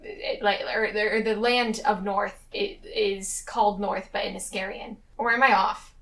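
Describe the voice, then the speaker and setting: A woman's voice: medium pitch, fairly even intonation, measured pace, gravelly, a bit bright, recorded from a distance. A woman playing a tabletop game, chatting casually and hesitantly as she thinks aloud.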